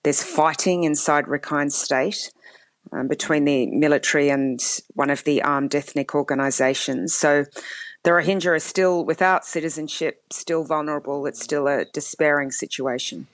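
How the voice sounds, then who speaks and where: A woman law professor giving measured, explanatory expert commentary in an interview. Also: low voice, steady tone, dry recording. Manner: measured, explanatory, with occasional fillers.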